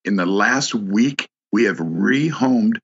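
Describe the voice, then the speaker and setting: A man who is a guest on a podcast, telling a personal story in casual conversation, halting with many restarts and fillers. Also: lowish voice, lively intonation, a bit bright, husky, lo-fi sound.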